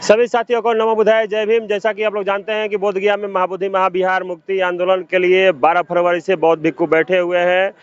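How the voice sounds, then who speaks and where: A man's voice: high voice, flat delivery, a little fast, hardly any pauses, clean tone, dry recording, lo-fi sound. A man working as a reporter, introducing and questioning a guest in a field news interview.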